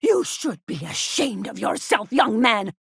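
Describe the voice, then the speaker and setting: A woman's voice: mid-range voice, sing-song, a little slow, creaky, husky, no room sound, studio quality, heavily acted. An actress playing a doting, anxious middle-aged frontier mother in a video game, with a melodramatic, folksy manner. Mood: scolding. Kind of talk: video game character dialogue.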